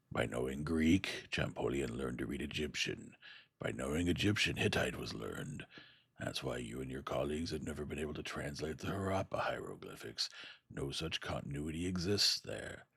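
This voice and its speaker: A man voicing an old male scholar who speaks in a soft, slow, hushed and weary way. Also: extremely low pitch, creaky, husky, no room sound, clean studio sound, full character voice. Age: older.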